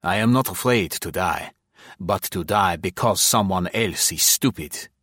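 Male reader as a proud bitter young spy, low-pitched, dry. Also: fairly bright tone, breathy edge, dry recording, heavily acted. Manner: bitter and measured.

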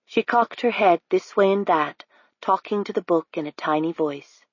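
A woman narrating an audiobook in an even, unhurried storytelling manner. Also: fairly low pitch, breathy edge, no echo, dull audio. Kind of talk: scripted narration.

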